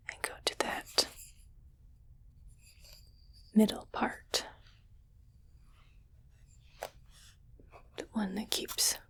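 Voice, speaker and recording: A woman voicing a condescending female alien in an ASMR roleplay, fascinated and disgusted by humans, in a breathy, deliberate, haughty whisper. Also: mid-range voice. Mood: haughty, critical.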